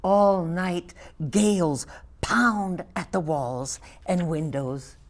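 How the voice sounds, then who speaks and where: A woman in her eighties from Belgium, a native Flemish speaker with a Flemish accent, reading her poetry softly and with measured care, sometimes dropping to a whisper. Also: fairly low pitch. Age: 80s. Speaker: poet.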